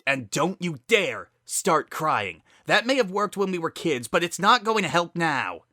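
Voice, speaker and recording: A man voicing a furious, bitter female pegasus pony, accusing her sister in forceful outbursts, in a dramatic story reading. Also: a little high, sing-song, measured pace, no room sound, clean studio sound, voice pushed up, heavily acted. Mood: angry accusing.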